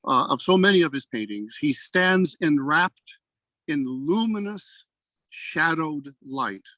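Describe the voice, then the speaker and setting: A man speaking formally and deliberately as a poetry reader, heard over a video call. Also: a little high, animated, bright, forceful tone, no echo. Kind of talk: measured poem recitation, then thoughtful comment. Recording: dull, lo-fi call audio.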